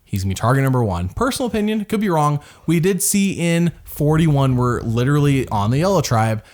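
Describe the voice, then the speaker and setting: A man's voice: fairly high pitch, sing-song, fairly quick, darkish tone. A man co-hosting a podcast, talking through a reality show in casual chat with restarts and laughs. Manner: rambling, with restarts and frequent laughs. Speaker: podcast co-host.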